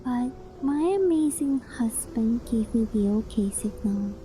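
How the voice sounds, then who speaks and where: Woman as adoring kitsune wife for ASMR roleplay: very mellow, unhurried, shy, affectionate, whispered touches, music behind. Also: on the high side, expressive. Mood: shy, hesitant. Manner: coy, hesitant, affectionate, softly breathy with whispered phrases. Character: doting, clingy, obsessive young kitsune fox-spirit wife. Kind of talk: ASMR roleplay, intimate sleep-aid monologue.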